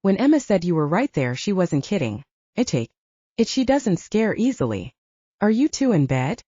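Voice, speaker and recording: A woman voicing a brisk, unflappable, dry-witted woman in an audiobook, with a teasing, amused manner. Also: on the low side, very animated, mellow, breathy edge, no room sound, highs cut off. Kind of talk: audiobook dialogue acting.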